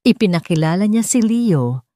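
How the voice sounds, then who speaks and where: A Filipino woman reads a scripted dramatic story in a measured, solemn manner with deliberate phrasing. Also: medium pitch, lively intonation, warm tone, dry recording. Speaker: audiobook narrator.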